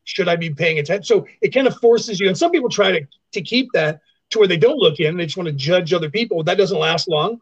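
A man's voice: sits high, animated, fast, few pauses, slightly rough, no room sound, lo-fi sound. A man co-hosting a podcast, talking reflectively and explaining at length. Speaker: podcast co-host. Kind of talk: casual podcast conversation.

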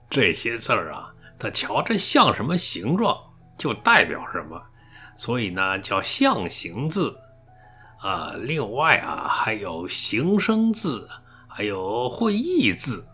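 A man's voice: on the low side, huge pitch swings, slow pace, bright, forceful tone, faint music behind, exaggerated voice. A man playing a kindly, teasing old grandfather who likes to explain things, in a radio drama.

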